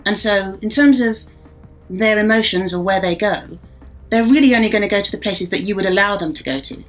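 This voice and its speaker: Mid-pitched woman with a mixed accent. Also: fairly quick, faint music behind. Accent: mixed, no single regional accent. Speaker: voice actress. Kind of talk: casual reflective interview.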